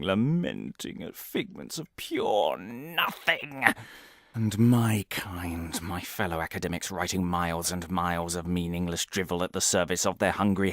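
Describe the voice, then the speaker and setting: A man's voice: deep voice, vocal fry, no room sound, studio quality, full character voice. An actor playing an unhinged, middle-aged male academic in an audio drama monologue, rambling and theatrical, given to muttering and ranting.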